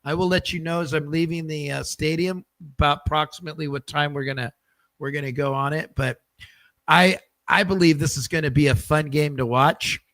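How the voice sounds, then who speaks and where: A man hosts a sports podcast with a bright, sharp voice set a little high. He rambles and cracks jokes. The sound is close and dry. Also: steady tone, fairly quick, dry recording. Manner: jokey, loose, a bit rambling. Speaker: podcast host. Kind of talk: casual podcast sign-off chat.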